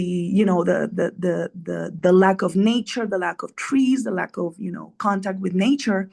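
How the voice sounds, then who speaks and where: A woman's voice: lowish voice, vocal fry. A middle-aged woman from Colombia, an immunologist guest explaining science in a podcast interview, earnest, often checking in with "right?".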